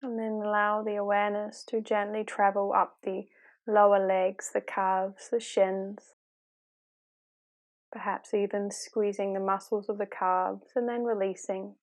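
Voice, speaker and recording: Woman doing guided meditation, mid pitch, calm n gentle, takes her time, lots of pauses. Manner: calm, unhurried, softly instructive with long pauses. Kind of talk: guided body-scan meditation, slow gentle instruction.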